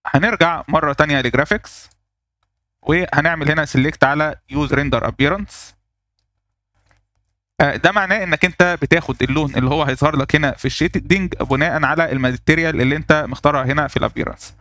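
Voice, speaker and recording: A man with an Egyptian Arabic accent walking through a software tutorial step by step, pausing on fillers as he works. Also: fairly high pitch, no echo, clean studio sound. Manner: explains step by step, thinking aloud with fillers. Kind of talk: screen-recorded software tutorial.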